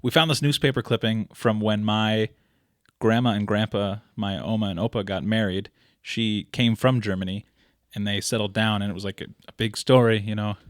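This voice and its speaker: Low-voiced man rambling through a story. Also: steady tone, normal speed, dry recording, studio quality. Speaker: podcast co-host. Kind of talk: casual chat.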